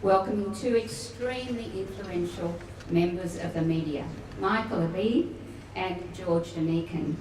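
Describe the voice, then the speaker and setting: A woman welcoming guests at a luncheon, formal but with warm chatty asides. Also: lowish voice, measured pace, hardly any pauses. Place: function room at a luncheon event. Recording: echoey room, distant mic, noisy.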